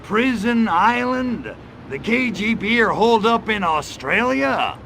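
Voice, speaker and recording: A swaggering alien invader from a video game. He is gravelly and sharp-edged, with a swooping, sarcastic delivery. He sounds crude and itching for a fight, over faint background noise. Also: high-pitched, lively intonation, measured pace, full character voice. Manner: sarcastic, smart-alecky drawl. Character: cocky, wisecracking male alien invader, crude and trigger-happy. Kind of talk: video game cutscene acting.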